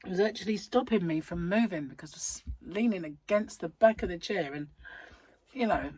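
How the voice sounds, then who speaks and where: A woman with a husky, slightly croaky voice from a cold rambles cheerfully from her chair at home. She is self-mocking and wanders off on tangents. Her voice sits fairly low, and the sound is close and dry. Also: moderate pace, a little mellow, no room sound, rough recording. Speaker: crochet channel host. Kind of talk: casual chatty vlog.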